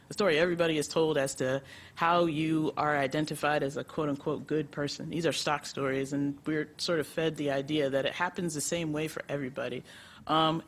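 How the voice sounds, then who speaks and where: A woman from the United States, a nonprofit executive director, speaking on a conference panel in an animated, chatty way with lots of laughs and fillers. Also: deep voice.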